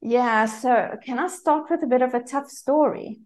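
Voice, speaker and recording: A woman's voice: sits high, moderate pace, darkish tone. A woman in her forties with a South African accent, a guest coach telling her personal story candidly with frequent fillers.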